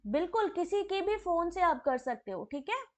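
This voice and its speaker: Hoarse high-voiced woman teaching live. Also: big pitch swings, normal speed. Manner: chatty, addresses viewers by name. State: hoarse, sore throat. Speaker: online exam-prep teacher. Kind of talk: live online class, answering chat.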